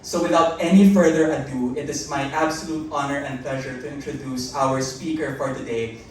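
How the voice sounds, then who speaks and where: A man's voice: medium pitch, fairly even intonation, moderate pace, a little mellow, a little hiss or hum. A man serving as master of ceremonies, formally and graciously introducing a guest lecturer in a classroom. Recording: echoey room, distant mic.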